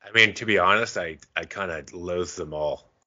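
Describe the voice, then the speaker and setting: Joking co-host, man, lowish voice, sharp and forceful, muffled lo-fi sound. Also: moderate pace. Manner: teasing, joking. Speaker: podcast co-host. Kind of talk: casual chat. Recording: dull lo-fi sound.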